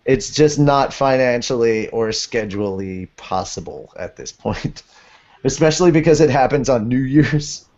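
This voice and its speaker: A man's voice: medium pitch, animated, measured pace, a little mellow. A man co-hosting a casual podcast in a playful, jokey way. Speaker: podcast co-host. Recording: muffled lo-fi podcast recording.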